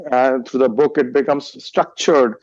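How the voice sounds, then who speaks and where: Man, Indian accent, Hindi native speaker, halting interview talk, dry lo-fi sound. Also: mid-range voice, fairly even intonation, darkish tone, no echo. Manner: halting, many restarts and fillers. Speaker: guest, author and meditation teacher.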